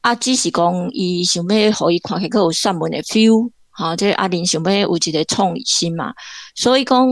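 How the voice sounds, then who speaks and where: Creaky-voiced Taiwanese woman giving commentary. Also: lowish voice, moderate pace, no room sound. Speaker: guest literature professor. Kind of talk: informal literary commentary.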